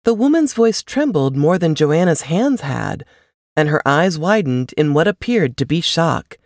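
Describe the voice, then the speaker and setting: A woman narrates fiction in an audiobook style. Her delivery is measured and expressive, with a very animated melody that rises and falls through the phrases. The sound is dry, with little room around the voice. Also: deep voice, no echo. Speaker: author reading aloud from a novel.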